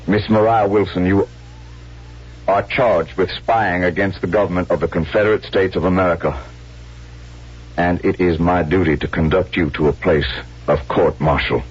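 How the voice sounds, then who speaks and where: A proud, easily affronted Confederate colonel in a vintage radio drama. He speaks with formal military courtesy in a very deep voice. The old broadcast recording is dull. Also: fairly even intonation, normal speed, slightly rough. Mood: grave, formal. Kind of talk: 1970s radio drama acting.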